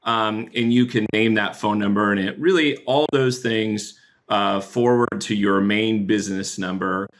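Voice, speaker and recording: A man explaining a business software product as a podcast guest, talking in a relaxed way with many fillers. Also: lowish voice, unhurried, a little hiss or hum. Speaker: guest, chief product officer.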